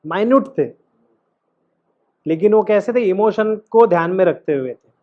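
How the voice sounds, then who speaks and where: A man's voice: sits high, animated, measured pace, dull audio. A man teaching an acting class as coach, instructive and emphatic, often putting questions to his student.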